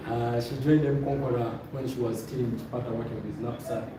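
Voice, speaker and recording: A man's voice: medium pitch, fairly even intonation, fairly quick. A man with a Zambian accent instructing a live room as a motivational trainer, directing listeners, repeating phrases and checking that they follow. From Luapula, Zambia. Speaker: trainer leading a presentation course. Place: indoor training room with stage. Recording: very echoey room, distant mic, noisy.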